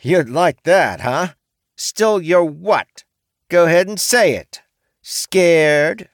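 A man voicing a brash female orc who used to be a man, loud and bossy. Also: a little high, expressive, slow pace, no echo, clean studio sound, voice pushed up. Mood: angry.

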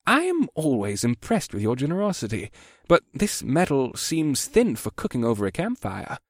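A man's voice: medium pitch, huge pitch swings, moderate pace, dry recording, studio quality, pitched above the performer's own voice. A man voicing a hearty one-eyed warrior general with formal, courteous phrasing in a fantasy audiobook. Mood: careful, skeptical. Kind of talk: audiobook character dialogue.

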